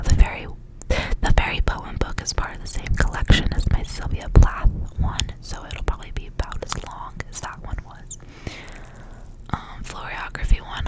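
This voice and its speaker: A woman whispering softly in a breathy, rambling ASMR style, as a host reading and chatting casually. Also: rough recording. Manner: soft, rambling, repeats words.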